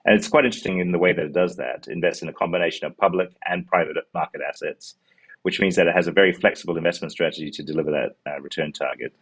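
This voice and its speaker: A man in his forties from New Zealand with a Kiwi accent, a fund co-manager pitching his fund over a video call from an office, full of ums and restarts. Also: very fast, fairly bright tone, slightly rough. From born in Sri Lanka, raised in New Zealand. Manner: many ums and uhs, restarts words while explaining. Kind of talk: investment pitch answering questions. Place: office with glass walls, indoors. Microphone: earbuds on a video call.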